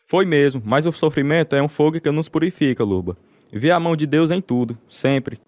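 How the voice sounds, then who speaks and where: A man's voice: fairly high pitch, a little fast, a little mellow, no room sound, close to an everyday voice. A man with a Brazilian accent playing a devout, serene older Russian pastor and father freed from prison, in a radio drama. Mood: serene, testifying.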